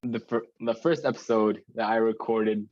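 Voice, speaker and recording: Reflective young man, low voice. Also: flat delivery, normal speed, darkish tone, no echo, highs cut off. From northern New Jersey. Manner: reflective, hesitant, filler-heavy. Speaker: podcast co-host. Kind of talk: casual chat.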